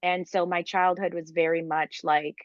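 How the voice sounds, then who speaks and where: Low-voiced woman talking fast with lots of "like". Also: little pitch movement, no room sound, dull audio. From Ukraine. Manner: fast, filler-heavy, enthusiastic with many "like"s. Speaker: guest entrepreneur. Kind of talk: conversational interview.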